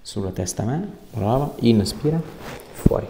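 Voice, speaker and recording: A man's voice: mid-range voice, expressive, moderate pace, dark tone. A man, a chiropractor giving short, informal, reassuring directions to a patient as he works. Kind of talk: hands-on clinical instructions and casual chat with a patient. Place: small indoor treatment room. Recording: echoey room with noticeable background noise.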